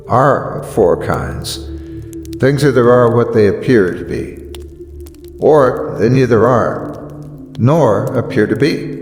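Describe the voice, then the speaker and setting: Slow, echoing male motivational narrator. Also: medium pitch, a little mellow. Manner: deliberate, solemn, emphatic with weighty pauses. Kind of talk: dramatic motivational speech narration. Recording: echoey long reverb over music. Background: background music.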